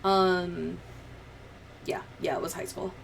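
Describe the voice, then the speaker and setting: A woman's voice: lowish voice, level tone, slow pace, gravelly, slight background noise. A woman livestreamer chatting and telling stories in a casual, sweary, giggly way. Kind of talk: casual livestream chat, storytelling.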